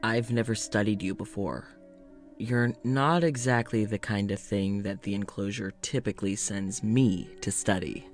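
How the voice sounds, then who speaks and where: A man playing a low-voiced, uneasy male scientist. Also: fairly even intonation, measured pace, soft background music, lightly acted. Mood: awkward, startled. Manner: reflective, conversational, hesitant. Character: polite, uneasy male scientist recording an audio journal. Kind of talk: audio drama monologue and dialogue.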